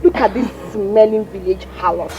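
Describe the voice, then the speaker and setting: A woman's voice: mid-range voice, normal speed, dark tone, dull audio, faint music behind, close to an everyday voice. A woman with a Nigerian accent playing an aggressive sidekick bully in a screen melodrama, confrontational and sneering. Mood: furious, insulting. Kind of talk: naturalistic screen melodrama acting.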